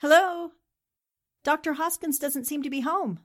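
A woman's voice: high voice, unhurried. A woman playing a determined, eager newspaperwoman in the style of 1940s radio drama. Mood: puzzled, exasperated. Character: determined, eager newspaperwoman investigating a haunted house.

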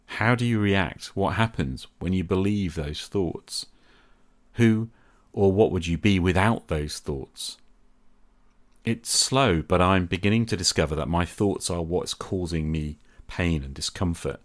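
A man hosting a podcast, speaking reflectively in deliberate short phrases and posing rhetorical questions. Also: low voice. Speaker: podcast host. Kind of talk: reflective solo podcast monologue.

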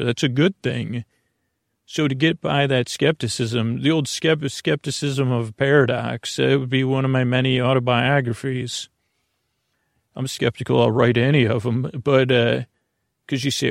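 A male podcast host rambles casually with a noticeably creaky, fry-laden voice at a medium pitch. He wanders from aside to aside, often cutting into his own sentences. The sound is dry and close, with very little room on it. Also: dry recording. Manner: meandering, self-interrupting, hedging asides. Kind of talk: rambling casual monologue to listeners.

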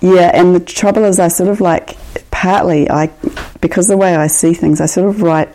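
Mellow, low-voiced New Zealand woman. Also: steady tone, moderate pace, rough recording. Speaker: author and poet, interview guest. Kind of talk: poetry reading and casual chat. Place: at home, indoors.

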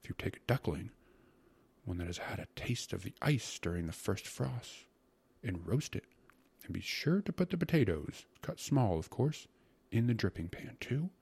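A man voicing a fussy, gluttonous court secretary in a storybook reading, coaxing and savoring each word with a breathy, stammering delivery. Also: lowish voice, sing-song, normal speed, a little mellow, heavily acted. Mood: rapturous, coaxing. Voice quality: breathy, often dropping to a whisper. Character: fussy, gluttonous male court secretary, rapturous about food.

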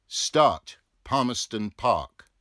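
A man's voice: mid-range voice, sing-song, drawn-out words, a bit bright, dry recording, clean studio sound, pitched above the performer's own voice, heavily acted. A man voicing a commanding tramway timekeeper who bawls out departures in an audiobook. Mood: bawling. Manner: bawling, commanding.

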